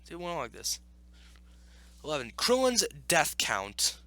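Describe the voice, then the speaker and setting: A man with a high-pitched, bright voice hosts a casual reaction video. His delivery swings up and down a lot as he argues his points emphatically and circles back to repeat them. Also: wildly swooping pitch, unhurried, no echo. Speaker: video host reacting to an article. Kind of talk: opinionated casual commentary with read-aloud passages.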